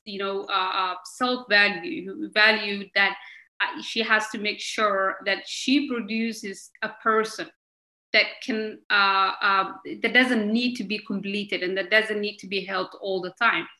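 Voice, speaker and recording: A woman from Somalia, a guest in an interview, speaking emphatically and animatedly, halting often on fillers and breaking into laughter. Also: medium pitch, steady tone, bright, forceful tone, reverberant, poor audio. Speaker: guest, member of parliament. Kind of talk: conversational interview answers.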